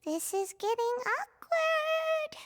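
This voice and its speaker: Tiny squeaky sidekick creature. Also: female voice, expressive, takes it slowly, very noisy, heavily acted. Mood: perky, suspicious. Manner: childlike, chirpy, outspoken. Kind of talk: video game voice acting. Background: music.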